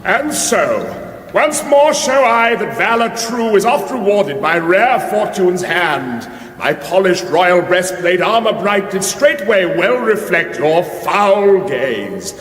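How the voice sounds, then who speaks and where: A vain young warrior prince in a fantasy radio comedy. His voice is high and swoops grandly through archaic, pompous declamation. It rings in a big echoing hall with music behind. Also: sing-song, takes it slowly, fairly bright tone, exaggerated voice. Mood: boastful. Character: pompous vain warrior crown prince, about thirty-two.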